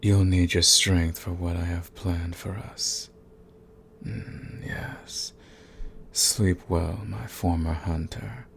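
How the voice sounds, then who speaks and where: Man performing a sadistic vampire master for ASMR roleplay: very low, gravelly, slow, seductive and possessive. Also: steady tone, slight background noise, heavily acted. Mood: soothing, possessive. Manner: slow, coaxing, condescending, drifting into breathy whispers.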